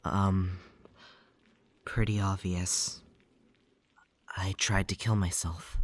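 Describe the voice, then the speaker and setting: An actor playing a quiet, withdrawn, awkward teenager in an audio drama, reticent and hesitant. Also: low voice, level tone, drawn-out words, dry recording, exaggerated voice. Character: quiet, withdrawn, awkward, nonbinary depressed teen patient.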